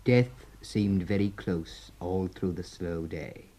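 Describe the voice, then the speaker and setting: A grave narrator for a radio prison drama. His voice is low and very mellow, and he reads the scripted lines slowly and somberly. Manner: grave, measured.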